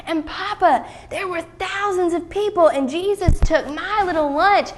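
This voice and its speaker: A woman voicing an eager, earnest young boy in a children's Bible story, halting and repeating himself. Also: fairly high pitch, natural voice.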